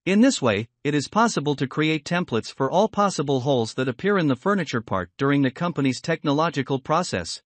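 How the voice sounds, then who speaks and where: A man giving scripted, instructional software tutorial narration in a measured, explanatory manner. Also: fairly high pitch, lively intonation, no echo.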